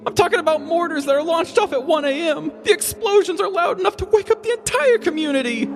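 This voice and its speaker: Woman as an anxious, outraged man, extremely high-pitched. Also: fast talker, music in the background. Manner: agitated, indignant. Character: anxious, outraged male resident complaining at a town meeting.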